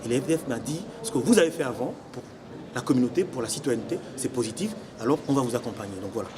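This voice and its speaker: A young man from Congo who lives in Belgium, giving deliberate, explanatory interview answers as an election candidate. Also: mid-range voice, expressive. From Congo, living in Belgium since 1984. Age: young adult. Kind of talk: semi-formal interview answers. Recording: noisy location with background ambience.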